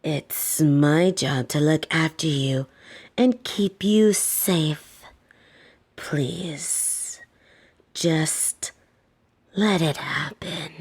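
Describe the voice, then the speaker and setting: A woman playing a soft-spoken, domineering lamia caretaker in a roleplay audio, teasing and coaxing with a condescending, softly menacing edge. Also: low-pitched, very slow, pauses often, slightly rough, full character voice. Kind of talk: scripted roleplay audio, intimate second-person address.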